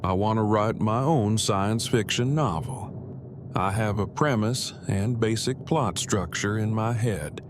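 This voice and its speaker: A man's voice: on the low side, slow, background noise. A man playing an earnest, bookish husband in an audio drama, speaking in formal, wordy and precise phrases. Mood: hesitant, confiding. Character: verbose, earnest, bookish husband on a road trip.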